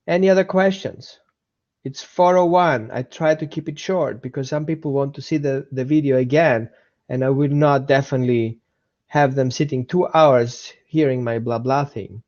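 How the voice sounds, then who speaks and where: A man's voice: on the high side, highs cut off. A man with an Austrian accent hosting a casual live dog-training webinar, chatting with viewers, repeating himself and greeting people by name.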